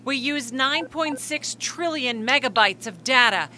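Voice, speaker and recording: A woman's voice: high voice, animated, unhurried. American woman reporting consumer news. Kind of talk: scripted news report and studio chat.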